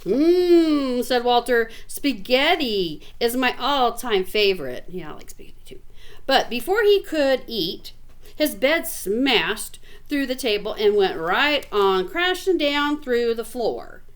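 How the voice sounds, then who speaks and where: A woman reading a picture book aloud in an animated, expressive way, with chatty asides to the listener. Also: a little high, unhurried. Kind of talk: animated storybook read-aloud with casual asides.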